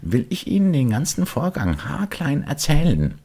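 A man's voice: a little high, huge pitch swings, normal speed, dark tone, slightly rough, voice pushed up, exaggerated voice. A man voicing a cheeky, merry little wooden puppet boy in a playful, politely teasing way for a storybook.

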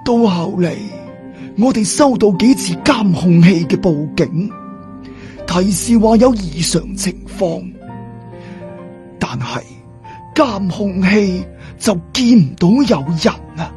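A man voicing a sly, secretive old boss in an audiobook, speaking in a deliberate, ominous way. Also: high-pitched, animated, mellow, soft background music. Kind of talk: audiobook character dialogue.

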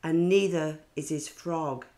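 A stubborn, guarded little girl from a picture book, as a woman reader voices her. She speaks firmly and emphatically, in slow, measured words. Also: low voice, steady tone, dark tone. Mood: grumpy.

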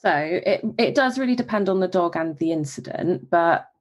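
Low husky woman behaviourist. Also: moderate pace. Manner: calm, explanatory, gives examples. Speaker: dog behaviourist. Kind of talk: expert explaining in an interview.

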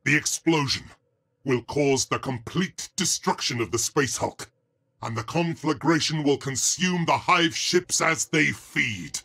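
An actor playing a stern, solemn space marine commander in a video game cutscene, with a husky voice and grave, formal, commanding delivery. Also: male voice, fairly high pitch, measured pace, pushed and bright, exaggerated voice. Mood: grave, resolute.

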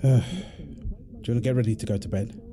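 A man's voice: mid-range voice, animated, moderate pace, heavy background noise, natural voice. A man playing a tired, irritable firefighter husband in an intimate roleplay audio drama. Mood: weary, defensive. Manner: weary sighs, snappy, then apologetic.

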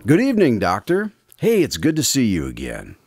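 A man's voice: mid-range voice, huge pitch swings, brisk, a little mellow, slightly rough, no echo, studio quality. A man hosting a radio drama, chatting with the main character in a friendly, conversational way. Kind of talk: scripted host chat.

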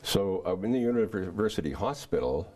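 Older man, very deep voice, husky, hesitant, halting answers, small studio. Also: expressive, warm tone. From Canada. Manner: hesitant, halting, with many restarts and fillers. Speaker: guest giving a testimony. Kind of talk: casual interview answers. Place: small TV studio set, indoors.

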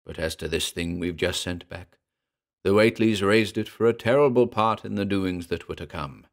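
A man delivering scripted horror drama narration in a grave, measured storytelling manner. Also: low-pitched. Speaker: narrator and announcer.

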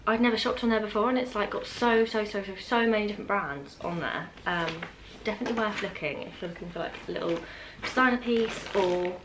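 A young British woman vlogs casually from her living room in an ordinary mid-pitched voice. She chats informally and wanders into small asides as she goes. Clear background noise sits behind her, picked up by a camera a little way off. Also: fairly quick, hardly any pauses. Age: 20s. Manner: chatty, informal, trailing asides. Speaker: vlogger. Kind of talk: casual chat to camera, unboxing. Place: open-plan living room at home, indoors.

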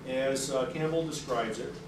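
A man's voice: medium pitch, normal speed. A middle-aged man giving a public lecture, talking conversationally with many fillers and restarts. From native English speaker. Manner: conversational, many fillers and restarts, reads quotes aloud with rising energy. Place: carpeted seminar room with audience. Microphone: room mic, away from the speaker at a lectern. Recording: very echoey room from far off, with noise.

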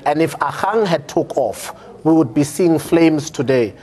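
A man's voice: fairly high pitch, expressive, measured pace, warm tone. A middle-aged man with a South African accent, giving political commentary in a studio, repeating words as he builds his point. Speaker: panel commentator.

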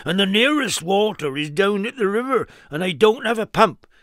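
A man's voice: sits high, very animated, measured pace, dry recording, studio quality, voice pushed up. A man voicing a worried, flustered male farmer in a storybook, anxious and pleading. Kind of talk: storybook character voice.

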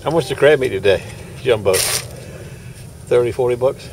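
A man's voice: medium pitch, measured pace. Older man, folksy vlogger, easygoing chatter, light outdoor noise. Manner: chatty, folksy, laughs often. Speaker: knife sharpener vlogger. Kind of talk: casual running commentary. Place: outdoor farmers market.

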